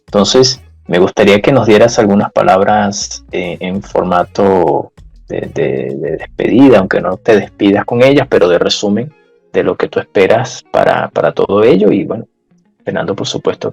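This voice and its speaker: Gravelly, husky-voiced male podcast host. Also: fairly low pitch, a little mellow, no room sound, faint music behind. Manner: measured, searching for words. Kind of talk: interview podcast.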